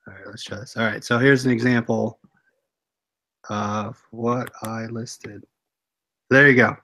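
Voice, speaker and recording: Relaxed, matter-of-fact man co-host. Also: mid-range voice, a little slow. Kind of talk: casual livestream chat.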